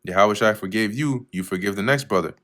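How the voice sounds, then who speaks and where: A man teaching verse by verse through scripture, reading each verse slowly and then explaining it in a casual way with fillers, often clearing his throat. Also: fairly low pitch, a bit bright. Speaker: Bible teacher.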